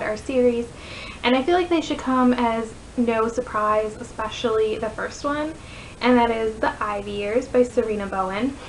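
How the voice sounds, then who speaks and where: A woman's voice: fairly high pitch, slight background noise, low-quality recording. A woman in her twenties with an American accent, chatting casually as she gives book recommendations in a vlog. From northeastern United States. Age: 20s. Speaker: book vlogger.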